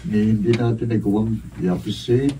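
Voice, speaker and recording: A man's voice: on the low side, fairly even intonation, moderate pace, dark tone, recorded from a distance, a little hiss or hum, natural voice, low-quality recording. A man acting a humble, remorseful young adult son and younger brother in an emotional Filipino radio drama. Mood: regretful.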